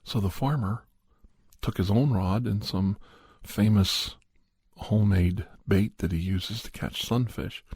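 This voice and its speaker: A man playing a serious doctor, telling a horror story in a husky voice with deliberate, halting pauses for an ASMR podcast. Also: fairly low pitch, darkish tone, dry recording. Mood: measured, suspenseful. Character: male doctor recounting a creature hunt, measured and serious. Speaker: storyteller narrator.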